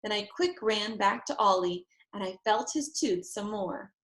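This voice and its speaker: A woman narrating a children's chapter book aloud in an expressive read-aloud style. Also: medium pitch, a little slow, roomy sound.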